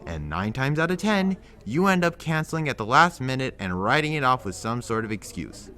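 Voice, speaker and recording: A pushy young buddy, firm and lecturing, bright edgy voice, lively pitch. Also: male voice, normal speed. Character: blunt, pushy young male friend giving tough love. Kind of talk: audio drama acting.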